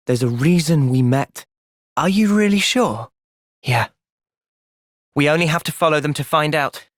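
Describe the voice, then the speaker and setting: An earnest young soldier hero from a video game, with an English accent and a close, dry sound. He is gentle and thoughtful, speaking with measured, soft seriousness. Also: on the high side, animated, dry recording. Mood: calm, resolute. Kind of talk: video game cutscene voice acting.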